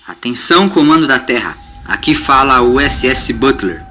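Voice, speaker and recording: A man's voice: mid-range voice, animated, moderate pace. A man voicing an officious, blustering ship's chief in an audio story, formal and authoritative. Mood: commanding. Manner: authoritative, formal, forceful announcements. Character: officious male ship chief, blustering, anxious to keep order. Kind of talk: audio story character acting.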